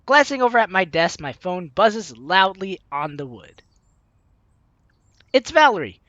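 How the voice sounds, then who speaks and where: A woman's voice: medium pitch, big pitch swings, unhurried, fairly bright tone, no room sound, lo-fi sound. A young woman hosting a game playthrough, reading the story aloud expressively and commenting as she goes, close on the mic. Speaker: let's play host.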